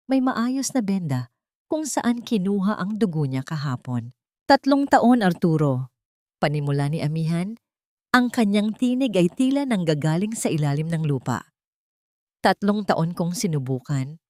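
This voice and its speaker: A woman's voice: deep voice, a little mellow, no room sound, studio quality. A woman reading a scripted story as an audiobook narrator, in a dramatic storytelling style.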